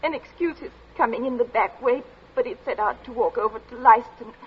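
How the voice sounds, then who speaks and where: A woman playing a frightened, distraught young daughter in a radio drama, halting, stammering and tearful as she answers her stern father. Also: fairly high pitch, slightly rough. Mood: hesitant, fearful. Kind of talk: 1940s radio drama acting. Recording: muffled, noisy old radio recording.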